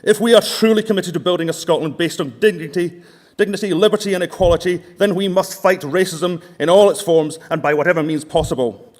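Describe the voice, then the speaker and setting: A man's voice: high voice, fairly quick, a little mellow. A man delivering an earnest, rousing speech as a delegate at a political conference. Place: large conference hall.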